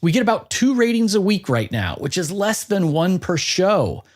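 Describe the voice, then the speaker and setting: A man with a somewhat higher-than-average voice hosts a podcast. His delivery is conversational and informal, chatting directly with guest and audience. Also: very animated, normal speed. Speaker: podcast host. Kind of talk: conversational interview and podcast hosting.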